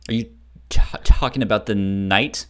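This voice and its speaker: Halting, casual male podcast host. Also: fairly low pitch, fairly bright tone. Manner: halting, with stammered repeats of sounds and words. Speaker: host of a manga discussion show. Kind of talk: casual chat.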